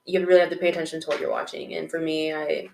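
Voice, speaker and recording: A woman's voice: deep voice, flat delivery, racing, vocal fry, slight background noise. A woman chatting casually and conversationally as co-host of an anime podcast. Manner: informal, conversational. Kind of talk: casual chat about anime. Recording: roomy, echoing room sound.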